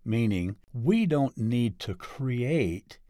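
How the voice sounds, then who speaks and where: A man reads an article aloud at a slow, deliberate pace, lifting his emphasis now and then. The sound is dry and close, with no room echo. His pitch sits in an ordinary middle range. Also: very animated, warm tone. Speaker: article reader.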